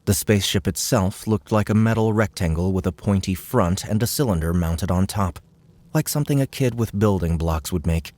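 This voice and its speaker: A man's voice: low voice, normal speed, darkish tone. A man narrating an audiobook in a scripted, dramatic storytelling style.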